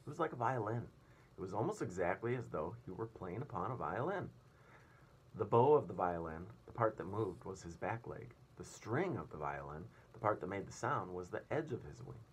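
A man's voice: low voice, big pitch swings, moderate pace. A man in his thirties, a teacher reading a children's story aloud in a small indoor room. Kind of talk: storybook read-aloud narration. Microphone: device at about arm's length. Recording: clear room noise.

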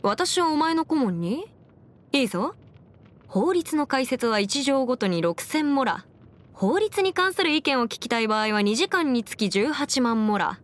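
A woman's voice: high-pitched, big pitch swings, measured pace. A woman voicing a confident, bookish young female legal expert in a video game, speaking in a self-assured, formal, lecturing way. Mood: businesslike, proud. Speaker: video game character voice actor. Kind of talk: scripted anime-style game character monologue.

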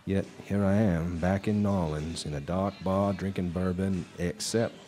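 An actor playing a haunted, world-weary bar singer, brooding and halting, in a live radio drama. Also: male voice, low-pitched, fairly even intonation, mellow.

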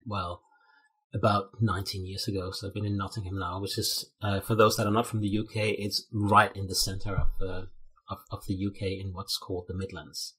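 A middle-aged man with a Danish accent, a guest on a video call, speaking in a measured, thoughtful way with some hesitations. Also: low voice, flat delivery, fairly bright tone, husky, poor audio. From Denmark. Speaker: guest, procurement consultant. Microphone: headset on a video call.

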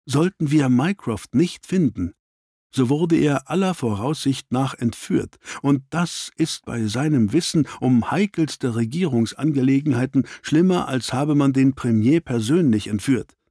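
A man voicing a stern gentleman detective in an audiobook, terse and commanding. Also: medium pitch, lively intonation, measured pace, slightly rough, no echo, clean studio sound. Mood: quiet, grave.

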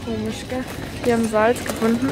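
A woman's voice: a little high, little pitch movement, brisk. A young woman from Germany chatting casually on a travel vlog, amused and talkative, filmed on a handheld camera in a car and a supermarket. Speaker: vlogger. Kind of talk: casual chat. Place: car, supermarket and parking lot. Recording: handheld camera with loud street and store noise.

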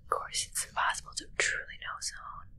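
A young woman playing a haughty, morbidly playful witch in an ASMR roleplay, whispering slowly and breathily in a musing, gently critical way close to the mic. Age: young adult. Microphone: close microphone, seen at close range.

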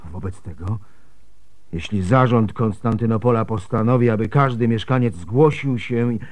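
A man's voice: lowish voice, moderate pace, close to an everyday voice. An actor playing an earnest, pious, determined middle-aged man marked by grief, in a radio drama. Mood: intense, urgent.

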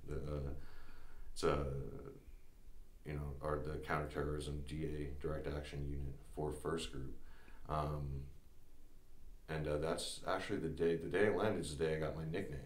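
A man in his thirties, a former soldier, telling stories in a relaxed interview, with many fillers and laughing as he goes. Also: rumbling low, normal speed. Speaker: podcast guest, former Green Beret. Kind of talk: casual interview storytelling. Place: small studio set at a table. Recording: echoey studio room.

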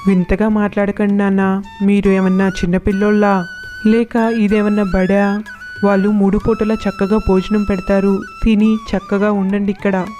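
A woman's voice: medium pitch, level tone, normal speed, mellow, soft background music, close to an everyday voice. A woman voicing a dismissive, evasive adult son in a storybook tale, matter-of-fact and unfeeling. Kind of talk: storybook dialogue.

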